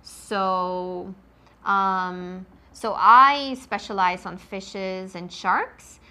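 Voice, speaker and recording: A woman's voice: mid-range voice, fairly even intonation, drawn-out words, bright, forceful tone. A woman from Switzerland with a Swiss accent, a marine biologist answering interview questions in an enthusiastic, explanatory way, with many ums.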